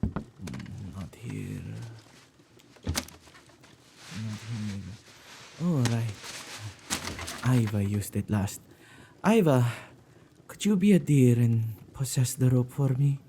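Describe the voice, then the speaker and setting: A man playing a playful, possessive male witch in an ASMR roleplay, with a husky, dark voice and a teasing, theatrical, musing manner. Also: mid-range voice, expressive, very slow, pauses often, background noise, exaggerated voice.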